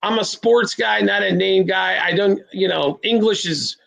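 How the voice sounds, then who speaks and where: A man's voice: high-pitched, a little slow, creaky, a bit bright. A man from the American Midwest hosting a sports betting show, rambling bluntly through picks with self-deprecating asides. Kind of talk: casual sports betting talk.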